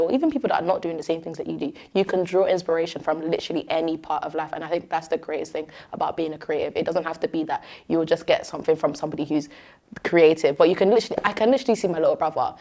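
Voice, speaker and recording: A woman's voice: deep voice, rapid-fire, dark tone. A young woman with a British accent, chatting casually and expressively as she answers questions as a host and content creator. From Nigerian-born, moved to Britain at three.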